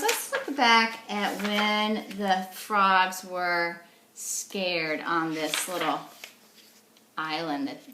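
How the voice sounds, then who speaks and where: A woman's voice: mid-range voice, fairly bright tone, echoey room, a little hiss or hum. A woman reading a storybook aloud to young children as their teacher, explaining things simply and checking in with questions.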